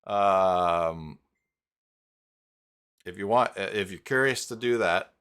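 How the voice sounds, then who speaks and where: A man hosting a live stream tutorial in a casual, halting way, thinking aloud as he goes. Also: sits low, fairly bright tone, smooth. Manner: halting, thinking aloud while clicking around. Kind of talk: casual live tutorial talk, reading chat.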